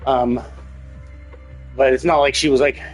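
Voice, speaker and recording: A man livestreams a game with an informal, conversational delivery at a middling pitch. He rambles through his reactions as casual commentary, with music playing faintly behind his voice. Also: fairly even intonation, measured pace, rough recording.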